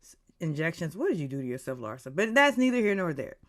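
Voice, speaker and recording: A woman's voice: low voice, sing-song, fast. A woman in her late forties giving a gossipy, catty recap of a reality show episode, dropping into whispers for asides. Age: 40s.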